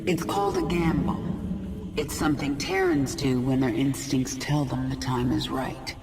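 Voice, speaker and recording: A woman playing a ruthless queen of an alien swarm in a video game, cool, commanding and deliberate. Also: rumbling low, steady tone, heavy background noise. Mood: cool, wry.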